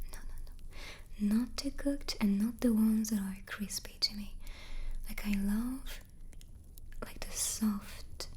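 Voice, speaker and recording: A woman hosting a whispered ASMR chat, answering questions while snacking on cookies close to the microphone. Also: medium pitch. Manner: soft unvoiced whisper throughout. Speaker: ASMR host.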